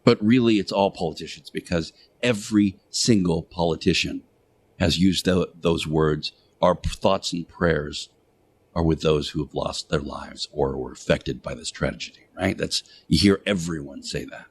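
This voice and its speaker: A man with a fairly low voice delivers a radio monologue in the manner of a preacher. He speaks deliberately in short, emphatic phrases, pausing between them and repeating key words for weight. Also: slight background noise. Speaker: radio show host playing Jesus in interactive radio theater.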